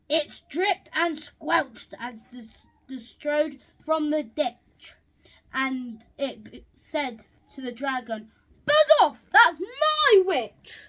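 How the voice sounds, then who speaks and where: High-pitched, slow, halting storybook reader, female. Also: low-quality recording. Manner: halting, stumbles and repeats words while reading. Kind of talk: reading a rhyming children's picture book aloud.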